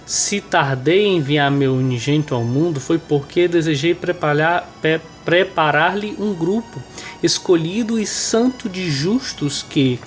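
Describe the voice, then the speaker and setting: A Brazilian man with a nasal, hoarse voice, stuffed up with a cold, presenting and reading a religious book aloud. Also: a little high, measured pace, soft background music. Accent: Brazilian Portuguese. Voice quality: nasal, congested. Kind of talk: informal remarks and devotional reading aloud.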